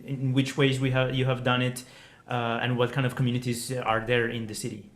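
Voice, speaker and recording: A man's voice: medium pitch, level tone, brisk. Courteous man moderating from an echoey room. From Colombia. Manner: courteous, many fillers. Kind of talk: moderating an online forum. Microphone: video call. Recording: echoey room on a video call.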